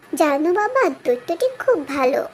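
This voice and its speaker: A woman's voice: very high voice, very animated, normal speed, crowd noise. A woman voicing a merchant's kind, obedient young daughter in a fairy-tale cartoon, earnest and sweet. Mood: happy.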